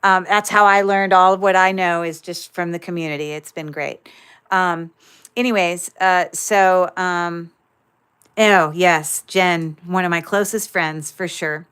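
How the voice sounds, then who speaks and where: Woman, livestream seller, chatty, filler-heavy, lower voice, crisp clear sound. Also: fairly even intonation, measured pace. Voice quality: describes own voice as loud. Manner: chatty, many fillers, thinks aloud while handling items. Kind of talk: casual livestream sales chat.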